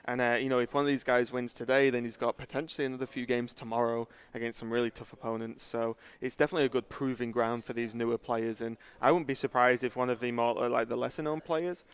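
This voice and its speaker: A man's voice: mid-range voice, steady tone, fast, hardly any pauses, clean tone. A man casting an esports tournament in conversational live desk commentary.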